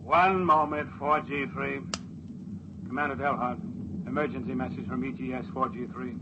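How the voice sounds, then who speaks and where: An actor playing an officious, rule-bound spaceship radio operator in 1950s radio drama style. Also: male voice, mid-range voice, fairly even intonation, normal speed, lightly acted. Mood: brisk, officious. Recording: dull, faintly noisy 1950s radio recording.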